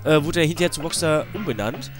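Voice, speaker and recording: A man's voice: a little high, quick pace. A man with a slight cold giving rambling, informal, slangy let's play commentary. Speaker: let's player. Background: video game music.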